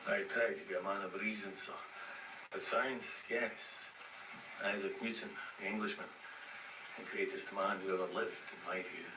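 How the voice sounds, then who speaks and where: An actor playing a sober, rational, formal first mate in a radio drama. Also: male voice, fairly low pitch, steady tone, normal speed, natural voice. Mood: measured. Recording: echoey, distant, very noisy recording.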